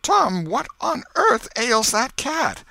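A man voicing a stern but soft-hearted old aunt who scolds and exclaims. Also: very high voice, very animated, takes it slowly, gravelly, no room sound, voice pushed up, full character voice. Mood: suspicious.

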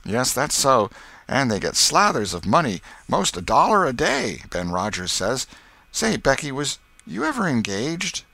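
A man's voice: medium pitch, huge pitch swings, a little slow, vocal fry, pushed and bright, dry recording, voice pushed up, exaggerated voice. A man voicing an eager, boastful young schoolboy in a storybook, with a folksy, insistent way of talking.

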